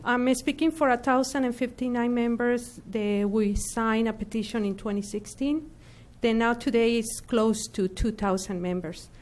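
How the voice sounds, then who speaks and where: Woman, slightly high voice, formal petition spokesperson at a council podium. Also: fairly even intonation, moderate pace, a little mellow. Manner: formal, addressing officials by name. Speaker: petition organizer addressing the council. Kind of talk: formal public comment. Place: council chamber.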